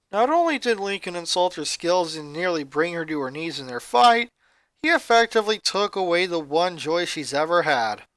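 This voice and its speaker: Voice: mid-range voice, fairly bright tone, clean tone, no room sound. A reader giving a scripted narration of a story, putting on voices for the characters. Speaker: fan fiction reader.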